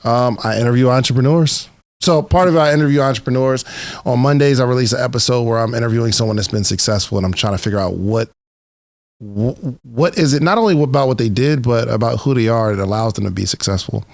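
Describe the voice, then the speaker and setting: A man's voice: mid-range voice, quick pace, gravelly, no echo. Casual male podcast host, dry close sound. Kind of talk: casual conversational interviewing.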